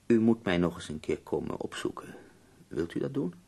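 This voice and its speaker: A man acting in a Dutch radio play as an ailing, nearly blind poet, husky and gravelly, formal, tender and wry. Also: fairly low pitch, sing-song, normal speed, warm tone, full character voice. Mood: courteous, wry.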